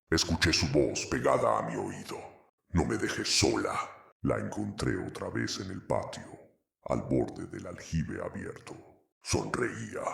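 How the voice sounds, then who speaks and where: A man's voice: very deep voice, vocal fry. A man narrating horror stories in a dramatic, ominous way.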